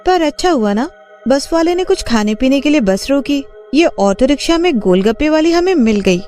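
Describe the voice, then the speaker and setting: A woman's voice: high-pitched, animated, moderate pace, a little mellow, faint music behind. A woman voicing a chatty, curious young female traveller in a cartoon story. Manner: chatty, inquisitive.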